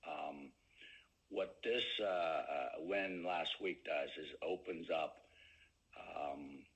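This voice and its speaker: A man speaking as a company executive answering questions on an earnings call, with halting phrasing and many pauses. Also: a bass voice, fairly bright tone, rough recording.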